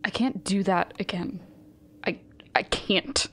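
A woman playing a shaken, weary, foul-mouthed character who pleads haltingly in a horror audio drama. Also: medium pitch, fairly bright tone, a little hiss or hum. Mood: defeated, bitter. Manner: halting, stammering, exasperated.

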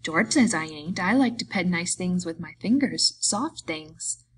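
A woman's voice: lowish voice, lively intonation, a little slow, slightly rough. A big, childlike man acted by a woman reader speaks in an audiobook. He is eager and simple, with an earnest rustic drawl. He pleads like someone who frightens easily.

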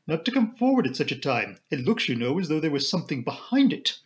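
A man's voice: on the high side, sing-song, fast. A man voicing a fretful, self-pitying middle-aged society mother in an audiobook, genteel, plaintive and rambling.

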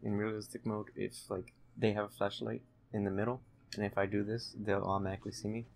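A man's voice: lowish voice, flat delivery, fast, creaky. A young man giving casual, rambling, hesitant commentary as he hosts a gameplay video. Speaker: gaming video host.

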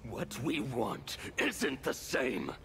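An actor playing a proud, stern soldier in a video game, commanding and deliberate with a gravelly edge. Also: male voice, medium pitch, wildly swooping pitch, fairly bright tone, soft background music, exaggerated voice. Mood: threatening. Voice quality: gravelly, hard-edged.

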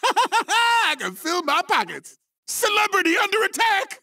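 A man voicing an excitable, boastful chicken sportscaster for a video game, hammy and shouting, with a gravelly voice. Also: very high-pitched, a little slow, distant mic, exaggerated voice. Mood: boastful, excited. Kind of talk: exaggerated video game character barks.

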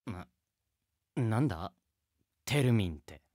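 Lively, exasperated teenage boy. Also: lowish voice, slow pace. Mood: baffled. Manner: exasperated, emphatic retorts. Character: exasperated teenage boy music student, earnest straight man. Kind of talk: Japanese radio comedy drama acting and narration.

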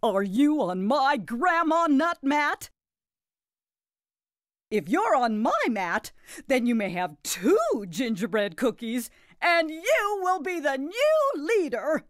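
A woman playing a jolly, doting grandmother who hosts an animated children's game in a gushing, sing-song way. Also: high-pitched, takes it slowly, dry recording, clean studio sound, exaggerated voice. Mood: bubbly.

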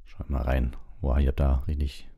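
A man reading an audiobook and hosting a livestream, with a relaxed, informal way of talking. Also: a bass voice, moderate pace, vocal fry, breathy edge, narrow, tinny audio. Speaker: audiobook reader, livestream host. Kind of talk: narration and casual livestream chat.

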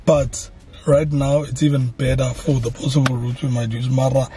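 A man's voice: mid-range voice, steady tone, normal speed, dark tone, soft background music. A man in his thirties with a South African accent, co-hosting a vlog with laid-back casual chat inside a car. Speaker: vlog co-host. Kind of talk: casual chat to camera with code-switching. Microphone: camera at dashboard distance.

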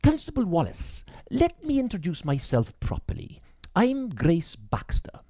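A man's voice: sits high, huge pitch swings, a little slow, dark tone, dry recording, clean studio sound, pitched above the performer's own voice, full character voice. A man voicing a young policewoman, polite, earnest and a little hesitant, in an audiobook.

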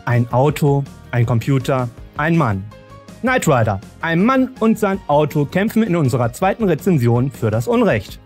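A man's voice: on the high side, big pitch swings, measured pace, long pauses, a little mellow. A man hosting a podcast, chatting casually and presenting segments. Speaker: podcast host. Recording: music bed behind voice.

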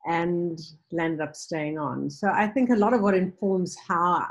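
A woman's voice: deep voice, low-quality recording. A middle-aged woman from Africa, a guest on a video call interview, talking thoughtfully with frequent ums and restarts. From South Africa. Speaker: guest, nonprofit founder. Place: home room with bookshelves.

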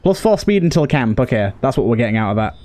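A man's voice: mid-range voice, big pitch swings, fast, a little mellow. A man giving casual let's play commentary as a gaming host, talking to himself and to the characters on screen. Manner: talks to himself and to game characters.

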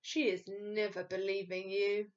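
A woman's voice: high voice, very animated, unhurried, fairly bright tone, voice pushed up, full character voice. A woman voicing a kindly, sad and stubborn giant from a storybook, with rustic, muddled grammar and emphatic delivery. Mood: doubtful, explaining. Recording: dull lo-fi recording.

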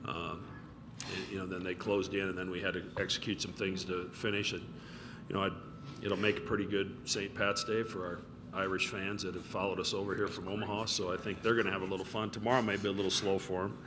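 A man in his fifties, a college basketball head coach, answering press questions in a relaxed, rambling way with many fillers. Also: low-pitched, quick pace. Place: press conference room with backdrop. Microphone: table mic. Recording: noticeable room noise.

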